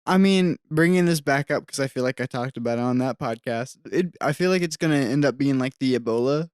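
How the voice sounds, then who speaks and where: A man's voice: mid-range voice, fairly quick, darkish tone, clean tone. A man co-hosting a podcast in casual chat, laid-back and laughing often. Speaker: podcast co-host.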